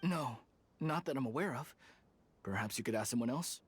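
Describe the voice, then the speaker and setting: A man's voice: medium pitch, animated, moderate pace, lightly acted. Game bit part, male actor: polite townsman with nothing to offer, middle register, noisy background. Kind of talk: video game voice acting.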